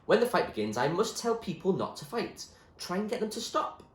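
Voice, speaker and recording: Idealistic storybook male, acted by a man: dreamy yet stubborn, earnest, insistent, higher-pitched, echoey. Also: big pitch swings, fairly quick. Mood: firm, earnest.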